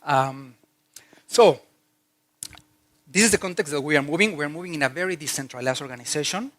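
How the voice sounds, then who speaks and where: A man's voice: a little high, fairly quick, a bit bright, dry recording. A man from Mexico, a native Spanish speaker with a Mexican Spanish accent, giving a business talk at a conference in a chatty way, with fillers and restarts. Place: conference hall.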